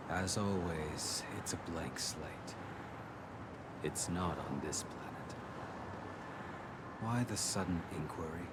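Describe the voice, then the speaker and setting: An actor voicing a brooding, weary, stoic killer in a video game, with terse, grim, deadpan delivery. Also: male voice, slow pace, long pauses. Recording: loud vehicle rumble behind voice.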